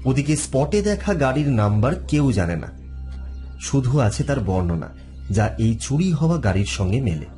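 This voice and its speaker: A man acts out a witty, shrewd detective in an audio drama. His voice is mellow and dark, and his conversational delivery moves freely up and down. Music plays underneath. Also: mid-range voice, sing-song, moderate pace, close to an everyday voice. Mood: pondering, suspicious. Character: witty food-loving married male detective, shrewd and probing.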